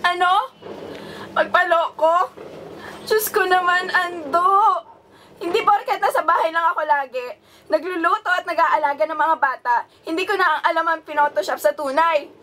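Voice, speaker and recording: High-voiced, wounded wife played by a woman. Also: normal speed, sharp tone, a little hiss or hum. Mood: furious. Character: hurt, furious betrayed wife and mother. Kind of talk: radio drama acting.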